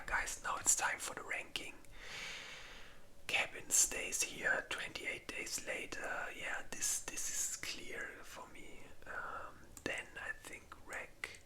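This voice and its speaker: This is an ASMR creator speaking entirely in a breathy whisper with a very bright, airy edge. The delivery is informal and rambling, like a casual chat, with loose, unpolished phrasing.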